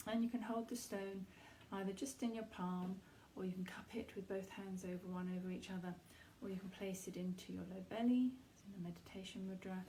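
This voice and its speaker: Soft lowish mellow woman doing guided meditation, soothing, pauses a lot, bit of background noise. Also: fairly even intonation. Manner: soothing, reassuring, pausing between phrases.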